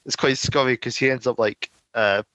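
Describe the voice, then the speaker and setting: A man co-hosts a podcast in easy, talkative chat, laughing readily as he explains things. His voice sits at a middling pitch. The recording is dry and slightly muffled, like a lo-fi home setup. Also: fairly even intonation, moderate pace, fairly bright tone, dry recording. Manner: chatty, laughs often, explains at length. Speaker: podcast co-host. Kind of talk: casual chat.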